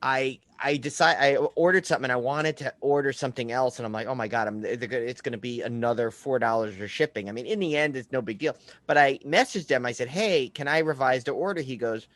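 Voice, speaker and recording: A dry recording carries this man's mid-pitched voice. He speaks as a podcast host in loose, casual conversation, telling anecdotes in everyday language. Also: quick pace, fairly bright tone, dry recording. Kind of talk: casual chat.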